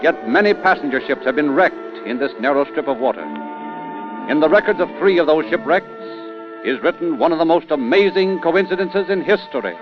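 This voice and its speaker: Dull old radio recording, music behind, guy narrating dramatically, deliberate, suspenseful. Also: fairly high pitch, lively intonation.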